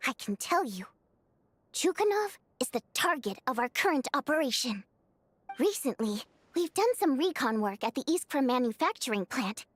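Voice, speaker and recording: A lively, scheming young rebel organizer. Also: female voice, sits high, no echo. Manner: brisk, confident, scheming. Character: upbeat young woman leading an underground group. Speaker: game character. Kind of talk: voiced video game dialogue.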